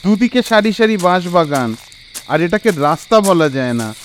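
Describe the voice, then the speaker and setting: A man narrating a scripted horror story in an expressive, suspenseful, dramatic way. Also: high-pitched, normal speed, a little hiss or hum.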